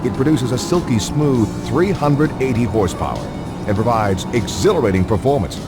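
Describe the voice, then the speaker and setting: A man's voice: mid-range voice, lively intonation, moderate pace. A man reads scripted promotional narration for a racing game in a polished announcer style. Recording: music behind the voice. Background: background music.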